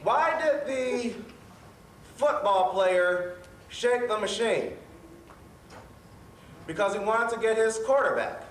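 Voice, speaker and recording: A cheerful, high-pitched male professor played by a stage actor hosts a children's show. He takes his time and stumbles over his words as he presents. The sound is echoey and distant, with faint noise. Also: expressive, slow, long pauses. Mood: upbeat, presenting. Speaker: storyteller host. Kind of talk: live children's theatre hosting, telling jokes.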